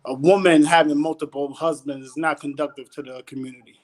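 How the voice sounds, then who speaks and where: A man joining a casual panel talk as a guest, animated and slangy, preaching his views and asking "you know what I'm saying" again and again. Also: fairly high pitch, a little fast.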